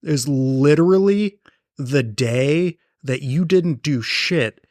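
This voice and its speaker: A man's voice: on the high side, takes it slowly, darkish tone, dry recording. A man in his late thirties co-hosting a podcast, talking in a dry, sardonic way with grumpy humor. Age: 30s. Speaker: podcast co-host. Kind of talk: casual chat.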